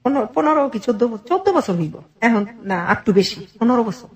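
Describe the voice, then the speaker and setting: High-voiced Bangladeshi woman telling her story. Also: very animated, fairly quick. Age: middle-aged. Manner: earnest, detailed narration with quoted dialogue. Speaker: interview guest telling her life story. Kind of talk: personal storytelling in conversation.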